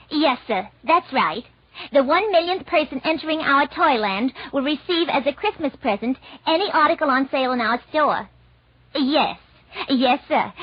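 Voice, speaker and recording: An actress playing a busy, polite department store operator with brisk, clipped patter, in 1940s radio comedy style on a noisy old recording. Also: female voice, high voice, lo-fi sound.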